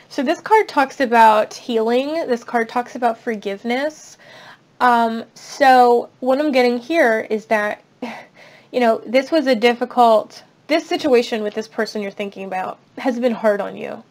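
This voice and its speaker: A young woman giving a casual tarot reading at a table, chatty and reassuring, her voice slightly raspy. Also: fairly high pitch. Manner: chatty, reassuring, many fillers. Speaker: tarot reader. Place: indoors at a table.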